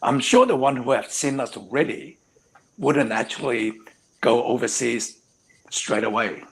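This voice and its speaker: A man's voice: medium pitch, a little slow, a bit bright, poor audio. A man, a hair transplant surgeon, explaining in an earnest way on a live panel discussion over a video call. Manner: earnest and explanatory, frequent "actually".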